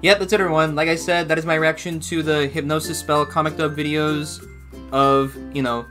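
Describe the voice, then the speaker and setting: A man's voice: on the high side, flat delivery, a little fast, a bit bright, soft background music. A young man giving casual reaction commentary in a rambling way, often repeating and rephrasing himself, recorded in a small room at home. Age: young adult. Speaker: reaction video host.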